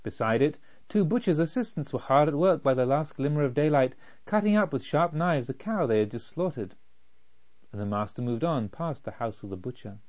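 A man reading a literary story as a narrator, with measured, deliberate phrasing. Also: on the high side, animated, a little mellow. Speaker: audiobook narrator. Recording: slightly dull, lo-fi.

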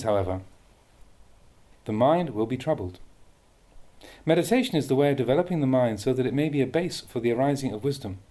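A man reading a Buddhist meditation teaching aloud with measured, deliberate phrasing. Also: medium pitch, dark tone. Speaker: Buddhist monk reading a translated dhamma talk.

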